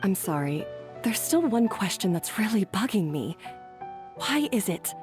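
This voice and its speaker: A woman's voice: medium pitch, big pitch swings, measured pace. An actress voicing a proud but self-doubting, remorseful woman head chef in a video game story. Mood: remorseful, unsure. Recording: background music under the voice.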